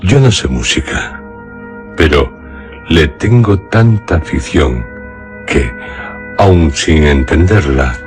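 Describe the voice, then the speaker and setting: A man narrating a literary tale for radio theatre in a solemn, deliberate manner with dramatic pauses. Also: extremely low pitch, animated, soft background music. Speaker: radio storyteller.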